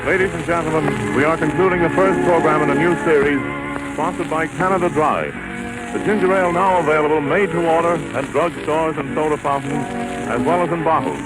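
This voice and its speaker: An old radio recording with loud music behind an American male announcer. His voice is fairly high, and he reads a sign-off in the formal, measured style of 1930s radio. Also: rough recording.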